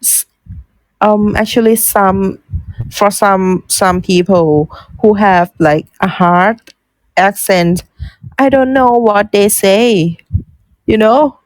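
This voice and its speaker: A woman's voice: mid-range voice, slow pace, no echo, poor audio. A young woman from Thailand speaking English with a Thai accent in casual conversation, hesitant and often restarting as she searches for words. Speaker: guest, content writer.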